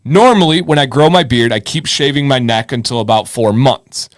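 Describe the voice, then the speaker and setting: A man hosts a casual live advice show in a medium-pitched voice. He is encouraging and informal, often repeating words as he thinks aloud. The sound is dry and close. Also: moderate pace, no echo. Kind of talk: casual live show chat, reading viewer messages and giving advice.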